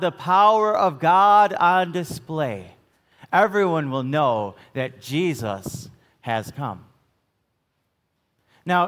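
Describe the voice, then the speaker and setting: A middle-aged American man preaching a sermon as a pastor, phrasing deliberately with emphatic stresses in a church. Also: medium pitch. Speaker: Lutheran pastor. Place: church sanctuary, at the pulpit. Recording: long church reverb.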